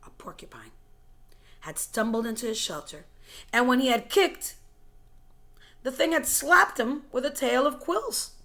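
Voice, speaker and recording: A woman reading a story aloud in a dramatic, suspenseful way, moving between hushed whispers and forceful, louder phrases. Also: high voice, unhurried, long pauses. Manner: suspenseful and expressive, dropping to whispers and rising to loud, forceful phrases. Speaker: teacher reading a book chapter aloud.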